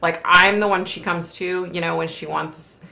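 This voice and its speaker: A middle-aged woman hosting a casual livestream from home, reading viewer comments aloud and reacting in a chatty, rambling way while her voice goes hoarse. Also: fairly low pitch, steady tone, normal speed, sharp tone, roomy sound. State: losing her voice.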